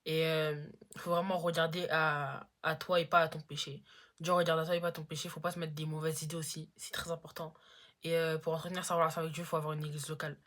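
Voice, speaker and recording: A woman's voice: low voice, little pitch movement, fast, a bit bright. A teenage girl in a casual Q&A vlog, chatty and slangy, full of fillers. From Congo-Brazzaville. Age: teens. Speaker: YouTuber.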